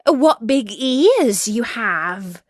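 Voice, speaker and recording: Woman putting on an innocent little storybook girl, raised pitch, slow wondering questions, dry close sound. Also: sing-song, no echo, exaggerated voice.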